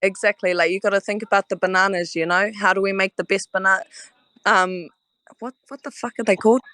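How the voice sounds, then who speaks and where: Bright, giggly New woman. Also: mid-range voice, steady tone, fairly quick, no echo. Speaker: chat participant. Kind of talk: casual voice chat.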